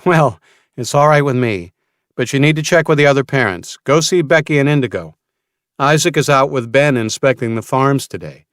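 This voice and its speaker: Caring mom, played by a male narrator: practical, gently firm, pitched up, audiobook dialogue. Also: animated, normal speed, no echo, clean studio sound, lightly acted. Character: caring, practical mother of a teenage son.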